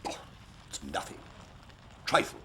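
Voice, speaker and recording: An actor playing a haughty, touchy aristocrat with an upper-class English accent, pompous and clipped, in a period drama. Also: male voice, measured pace, bright, forceful tone, slight background noise. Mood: defensive. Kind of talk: period detective drama acting.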